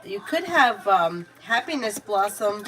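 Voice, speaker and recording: A woman's voice: mid-range voice, sing-song, measured pace, a bit bright, poor audio. A woman giving tarot readings on a casual livestream, chatting candidly, swearing freely and calling viewers by name. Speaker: tarot reader answering viewer questions.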